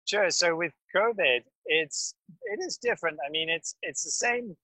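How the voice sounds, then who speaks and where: Male doctor guest recounting field work, even and measured, forceful bright voice, close dry mic. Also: fairly high pitch, dry recording. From grew up in West Africa. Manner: calm, measured storytelling with fillers. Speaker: pediatric doctor. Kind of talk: podcast interview, recounting experience.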